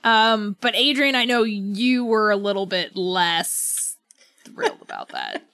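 A woman's voice: mid-range voice, sing-song, slow pace, fairly bright tone, no echo. A woman co-hosting a podcast in a casual book chat, often breaking her sentences to correct herself and prone to laughter. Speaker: podcast co-host.

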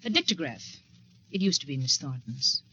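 An actress playing a cool, formal, faintly sinister secretary in 1940s radio style. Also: female voice, very deep voice, big pitch swings, normal speed, bright, forceful tone, exaggerated voice. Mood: pointedly calm. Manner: stiffly formal, pointed. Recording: noisy, dull 1940s radio recording.

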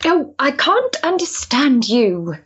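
A woman's voice: sits high, big pitch swings, slow, lo-fi sound, full character voice. An actress playing an earnest, impulsive, lonely Victorian woman in a period stage drama, with a hesitant, emotional manner. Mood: concerned, puzzled.

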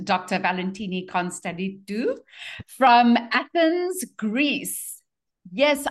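A woman hosting a podcast interview in an enthusiastic, chatty way. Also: a little high. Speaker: podcast host.

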